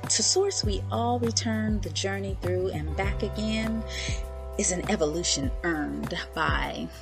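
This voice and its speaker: Slow, measured woman reciting poetry over music. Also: mid-range voice, gravelly. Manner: measured, deliberate phrasing. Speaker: featured storyteller and poet. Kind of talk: slow, dramatic spoken-word poetry recital.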